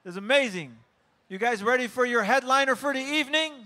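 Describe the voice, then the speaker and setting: Male comedy MC, very high and bright voice, hyped stage announcing, repeats himself. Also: fast. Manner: hyped, repetitive. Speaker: comedy show MC. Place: live comedy show before an audience.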